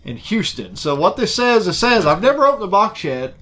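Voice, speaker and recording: A man's voice: high voice, very animated, fairly quick, a crowd in the background. A man co-hosting a casual chat about old gadgets, talking in a folksy, plain-spoken way. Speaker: co-host of an old tech show. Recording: clear background noise, room ambience.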